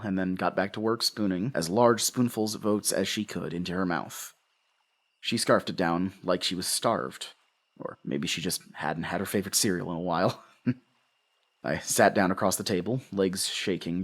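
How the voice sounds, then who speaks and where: An actor playing a haunted, guilt-ridden husband and father, narrating a horror audio drama in a halting, anguished way. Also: sits low. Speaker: narrator and lead character.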